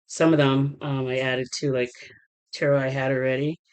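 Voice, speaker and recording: A woman tarot reader talking casually to her viewers, halting with many fillers and restarts while getting over a cold. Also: rumbling low, little pitch movement, a little mellow, smooth, low-quality recording. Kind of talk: casual rambling vlog talk.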